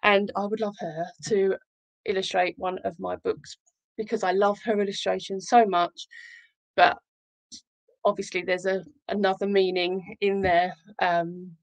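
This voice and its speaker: A woman hosts an informal live show. Her voice sits at an average pitch, in a dry sound with little room in it. She rambles on in a chatty, self-deprecating and gushing way. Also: little pitch movement, unhurried, no echo, rough recording. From Essex, England. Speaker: live show host, children's author. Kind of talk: casual chatty livestream.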